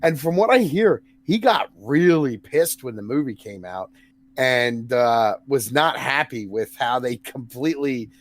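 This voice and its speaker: A New Zealand man hosting a casual podcast in a mid-pitched voice. He rambles through long stories, stops and restarts often, and laughs at what he is describing. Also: lively intonation, measured pace. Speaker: podcast host.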